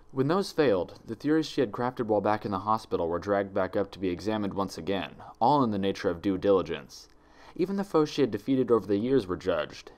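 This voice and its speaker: A man narrating a written story in a deliberate, dramatic storytelling manner. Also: lowish voice. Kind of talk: scripted narration, dramatic fan fiction reading.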